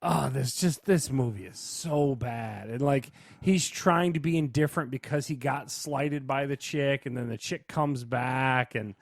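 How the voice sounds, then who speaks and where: A man's voice: medium pitch, measured pace, gravelly, hardly any pauses, dry recording, studio quality. A man co-hosting a movie podcast in casual chat, slangy and opinionated, saying bluntly what he thinks. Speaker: podcast co-host reviewing movies.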